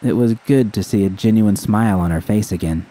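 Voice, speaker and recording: A man's voice: fairly low pitch, brisk, mellow, low-quality recording. A man reading a scripted first-person story as narration. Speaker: audiobook reader of fan fiction.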